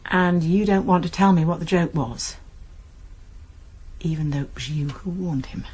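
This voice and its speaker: An actress playing a calm, kindly college nurse with a reassuring, gently probing manner in a radio drama. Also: female voice, lowish voice, normal speed, breathy edge.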